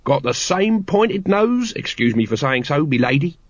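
Guy doing a cheeky chirpy city watchman, eager to please, lively up n down voice, comic audiobook. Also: a little high, moderate pace, no room sound, highs cut off, pitched above the performer's own voice. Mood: cheerful, ingratiating.

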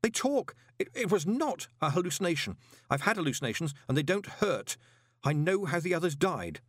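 An actor playing a panicked young man who gasps his words out, strained and high. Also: wildly swooping pitch, slow pace, fairly bright tone, no echo, studio quality, heavily acted. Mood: frantic. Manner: gasping, strained and high-pitched. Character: panicked young male con man, frantic.

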